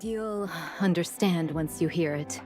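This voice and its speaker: A woman's voice: fairly low pitch, a little slow, darkish tone, close to an everyday voice. Papillon, a woman voicing a flirty teasing ally. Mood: ominous. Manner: teasing, calls others honey. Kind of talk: game voice acting. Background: game music.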